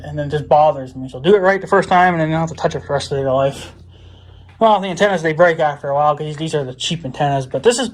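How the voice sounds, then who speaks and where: A man with a slightly high voice talks casually to his viewers while working on a hands-on project. He rambles and thinks aloud, in a folksy, informal way. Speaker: vlogger. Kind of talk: casual hands-on DIY vlog talk to viewers.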